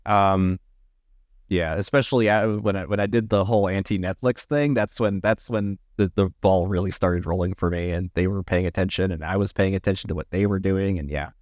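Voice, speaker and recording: A man chatting casually to his livestream viewers, rambling with many restarts and sounding slightly hoarse after hours of talking. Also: sits low, fairly even intonation, brisk, darkish tone, no echo. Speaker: livestreamer and AI art tutorial maker.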